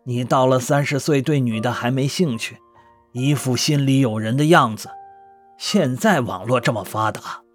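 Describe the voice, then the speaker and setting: Older father, male actor: colloquial, bantering, warm-hearted under the gruffness, radio-drama delivery with light music behind. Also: medium pitch, moderate pace, natural voice. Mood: probing. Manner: folksy, bantering, plain-spoken. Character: gruff but loving, accepting older father. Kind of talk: audio drama acting, colloquial.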